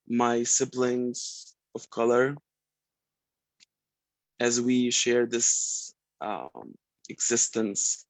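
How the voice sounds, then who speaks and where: A man with an Egyptian Arabic accent, a native Arabic speaker, introducing himself on a video call, gentle and hesitant with many pauses and ums. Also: medium pitch, no echo, poor audio. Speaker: participant introducing themself. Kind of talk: casual self-introduction.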